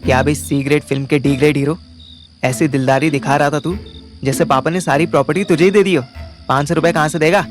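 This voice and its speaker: A man's voice: fairly high pitch, fairly even intonation, a little fast, faint music behind, lightly acted. A man playing a wisecracking, haggling young amateur detective who narrates an audio story with slangy, sarcastic banter. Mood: indignant, mocking. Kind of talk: first-person audio story narration with acted dialogue.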